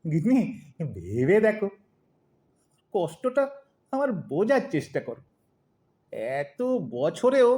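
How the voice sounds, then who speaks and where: A lovesick old husband acted by a woman in a comic audio play. The voice is high and very animated, drifting into dreamy sighing and whining protest. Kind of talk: comic Bengali audio drama acting.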